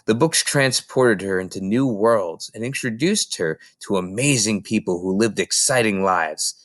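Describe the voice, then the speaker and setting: A man reading a children's novel aloud as an expressive audiobook narrator. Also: lowish voice, measured pace, no echo, clean studio sound. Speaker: storybook reader.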